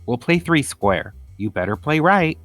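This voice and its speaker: A man's voice: a little high, very animated, measured pace, a bit bright, no echo, faint music behind, pitched above the performer's own voice. A man voicing a bossy, indignant schoolgirl with a curt, scolding manner in a storybook reading.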